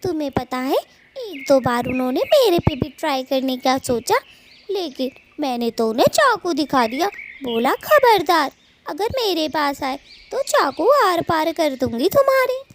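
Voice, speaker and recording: Cartoon female bird woman performer: squeaky-high, animated, indignant gossip. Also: unhurried, a little hiss or hum. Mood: chatty. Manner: gossipy, complaining. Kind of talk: Hindi cartoon moral story dubbing.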